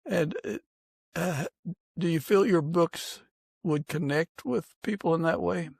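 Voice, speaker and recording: A man hosting a podcast, interviewing conversationally in deliberate, measured phrases. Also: a little high, creaky, slightly rough, dry recording. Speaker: podcast host.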